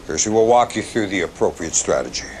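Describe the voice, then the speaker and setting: A man playing a blunt, grave army general in a game cutscene, gruff and authoritative. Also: low voice, expressive, fast, a little mellow, slight background noise. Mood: frustrated. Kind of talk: scripted game cutscene acting.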